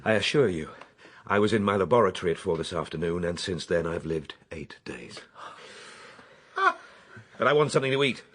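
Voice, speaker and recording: Low-voiced earnest Victorian gentleman inventor. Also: expressive, a little fast, pauses often, slightly rough. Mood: hungry, insistent. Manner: precise, formal, dramatic storytelling. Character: earnest Victorian gentleman inventor recounting his time travel. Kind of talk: radio drama acting.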